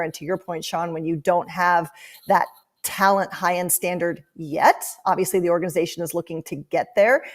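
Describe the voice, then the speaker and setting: Female interview guest, sports analyst. Lower-than-average pitch, thoughtful and articulate, leans on key words. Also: darkish tone.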